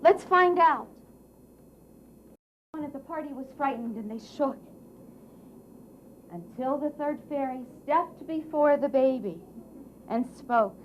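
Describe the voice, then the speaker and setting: High-voiced, animated woman storyteller. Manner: theatrical, deliberate, coaxing audience questions. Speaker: puppet show storyteller. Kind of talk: expressive live storytelling for children. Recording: dull, faintly noisy live recording.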